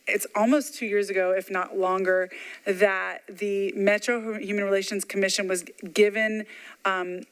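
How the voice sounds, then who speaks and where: A woman chairing a public commission meeting, brisk and upbeat, speaking into a table microphone in a meeting room. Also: mid-range voice, little pitch movement, clear, resonant tone.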